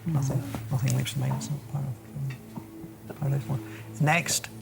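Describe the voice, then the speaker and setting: Male contestant from Scotland, mid pitch, creaky, hesitant under time pressure. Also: little pitch movement, music in the background. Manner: halting, thinking aloud, many fillers. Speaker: quiz team captain. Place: television studio.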